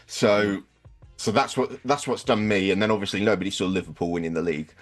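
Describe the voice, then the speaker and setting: Low-pitched man hosting a football podcast. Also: steady tone, fairly quick, soft background music. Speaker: sports podcast host. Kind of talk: casual football podcast review.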